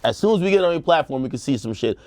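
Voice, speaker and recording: A man's voice: on the high side, lively intonation, rapid-fire, a little mellow, no room sound. A man co-hosting a casual panel talk, giving emphatic opinions with plenty of slang and swearing. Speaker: show co-host giving opinions.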